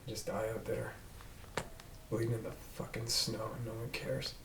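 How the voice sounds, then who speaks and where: A man playing a guarded, gruff human police detective in a drama read-through, blunt and casual in his speech. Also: fairly low pitch, little pitch movement, normal speed, recorded from a distance, natural voice. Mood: subdued, bitter. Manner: blunt, casual, clipped swearing. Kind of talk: table read of a drama script. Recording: roomy echo, off mic, faint noise.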